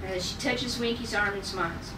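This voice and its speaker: Woman, table read narrator, stage directions, halting informal delivery, roomy echo, background noise. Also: mid-range voice. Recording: echoey room, distant mic, noisy.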